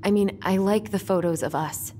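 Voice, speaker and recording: A woman's voice: medium pitch, moderate pace, mellow, a little hiss or hum, lightly acted. An actress playing a guarded, wry young American woman in a video game, talking in a subdued, dry way. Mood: subdued, bitter. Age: young adult. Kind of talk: naturalistic video game dialogue.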